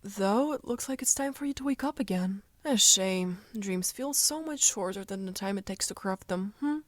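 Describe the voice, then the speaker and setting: A calm and knowing female dream spirit played by a woman speaks in a coy, measured way. Her mid-pitched voice sits close and dry, as in an animated fantasy dub, with a light teasing edge. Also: no room sound, lightly acted. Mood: teasing, reassuring. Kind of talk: animated comic dub acting.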